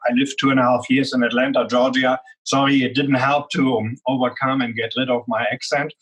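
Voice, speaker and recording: A man's voice: medium pitch, level tone, a little fast, a bit bright, slightly rough, low-quality recording. A man with a strong German accent, speaking as a guest executive in an interview and explaining with frequent "um" fillers. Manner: many "um" fillers, earnest explaining. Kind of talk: business interview.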